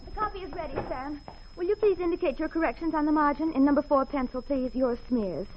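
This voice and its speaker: An American actress playing a prim, excitable secretary smitten with her detective boss, fussy and emotional, in 1940s radio drama style. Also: sits high, fast talker, close to an everyday voice. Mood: scolding, wound up. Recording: muffled 1940s radio recording.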